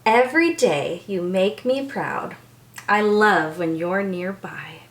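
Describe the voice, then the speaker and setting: A woman's voice: mid-range voice, expressive, slow pace, roomy sound. An American woman hosting a read-aloud storytime for young children, speaking with bubbly, exaggerated enthusiasm as a teacher does to little ones.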